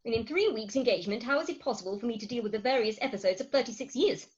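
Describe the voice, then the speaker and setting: Actress doing a posh-acting victorian wife, highish and very animated, proud and wheedling, bit dull online audio. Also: female voice, racing, natural voice. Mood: pleading, insistent. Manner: theatrical, emphatic, grandly articulate. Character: imperious, coaxing Victorian English wife, thirty-six, proud. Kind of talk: comic Victorian stage farce reading. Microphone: remote home recording over video call.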